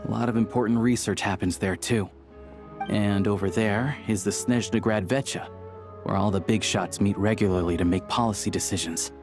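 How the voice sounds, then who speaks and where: A man's voice: fairly low pitch, fairly even intonation, moderate pace, over music, close to an everyday voice. A man playing an easygoing hunter and town guide in a video game, speaking in a casual, helpful, matter-of-fact way. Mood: informative, helpful. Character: easygoing young male small-time hunter acting as town guide. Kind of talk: video game character acting.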